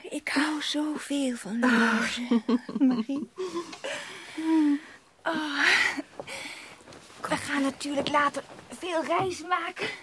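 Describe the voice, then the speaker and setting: Radio play ingenue: dreamy, obedient, girlish, extremely high and slow, faint background noise. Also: fairly bright tone. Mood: blissful, dreamy. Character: dreamy, sweet, obedient young girl in love. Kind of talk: Dutch radio play acting.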